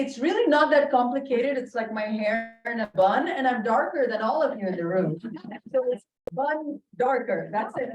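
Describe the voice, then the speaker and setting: Indian-accented woman speaking in a roomy hall. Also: medium pitch. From Bahrain. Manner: chatty, jokey, self-deprecating. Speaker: dermatologist giving a talk. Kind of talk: friendly presentation with humor. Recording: distant mic, roomy, dull, faint noise.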